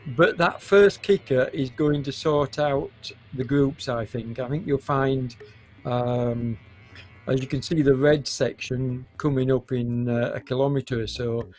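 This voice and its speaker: A man with a cold commentating a live esports cycling race, hesitant and full of fillers, with a hoarse voice and occasional coughs. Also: mid-range voice, steady tone, a little mellow. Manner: hesitant, many fillers, unhurried.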